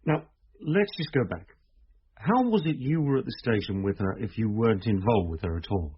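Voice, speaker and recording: Detective in a radio play, deep-ish husky voice, calm methodical questions, muffled audio. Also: male voice, moderate pace. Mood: calm, questioning. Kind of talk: naturalistic British radio drama acting. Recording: muffled old radio drama recording.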